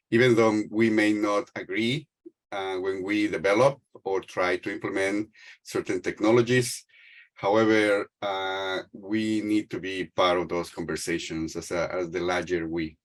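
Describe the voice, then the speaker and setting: A middle-aged man with a Mexican Spanish accent speaks in a lowish voice over a video call. He talks in a halting way, full of "uh"s and restarts. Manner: hesitant, many fillers and restarts. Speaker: panel speaker. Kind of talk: panel talk on a video call.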